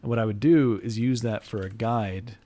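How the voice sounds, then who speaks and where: A young man chatting casually with viewers on a drawing livestream, answering questions in a loose, halting way and restarting phrases as he sketches at his desk. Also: fairly low pitch, dark tone. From Iraq, grew up in the United States. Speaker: art streamer. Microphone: headphones, desk mic.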